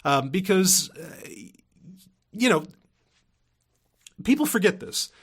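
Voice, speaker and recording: A man's voice: high-pitched, sing-song, slow, slightly rough. A man hosting a podcast in a casual, unscripted monologue, rambling and emphatic, often repeating himself and swearing casually. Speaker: podcast host.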